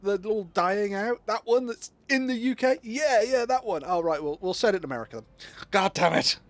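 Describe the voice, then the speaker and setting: A man's voice: high voice, lively intonation, fairly quick, no room sound. A British man streaming a game, chatting casually in a rambling, joking way and laughing often. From United Kingdom. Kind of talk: casual chat while playing a game live.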